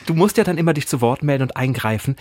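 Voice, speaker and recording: Male co-host, husky mid-range voice, casual interviewer, dry close recording. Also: medium pitch, fast, darkish tone, dry recording. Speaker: podcast co-host.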